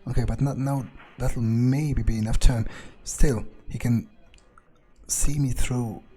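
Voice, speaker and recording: A man's voice: medium pitch, steady tone, slow pace, warm tone, slightly rough, faint music behind. A man playing a wary, foul-mouthed vampire in a game playthrough, thinking aloud in a hesitant mutter. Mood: tense muttering. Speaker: let's player. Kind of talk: in-character gameplay commentary, thinking aloud. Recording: noticeable background noise.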